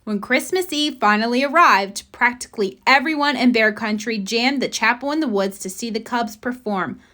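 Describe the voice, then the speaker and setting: High-voiced woman reading a children's storybook. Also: moderate pace, sharp tone. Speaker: storybook reader. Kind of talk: children's picture book read-aloud.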